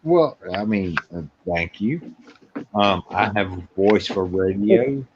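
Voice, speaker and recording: A man's voice: fairly low pitch, expressive, takes it slowly. A middle-aged man hosting a live show with casual, joking chat. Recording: dull lo-fi audio, clear noise, clicks.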